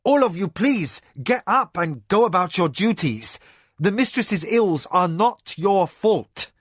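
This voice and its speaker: A man's voice: medium pitch, animated, measured pace, fairly bright tone, slightly rough, no echo, clean studio sound. Man doing a desperate young noble lord. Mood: commanding. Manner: urgent, impassioned. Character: young male noble, desperate, commanding, anguished.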